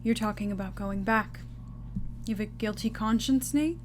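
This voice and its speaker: A woman's voice: medium pitch, moderate pace, lightly acted. Woman acting stern, blunt senior agent, noisy recording. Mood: angry, accusing. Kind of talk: audio drama acting.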